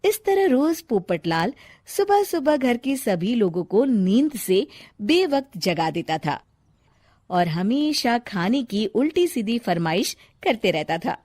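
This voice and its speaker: A woman's voice: a little high, sing-song, slow, a little mellow. A woman narrating a scripted comic story as a storyteller.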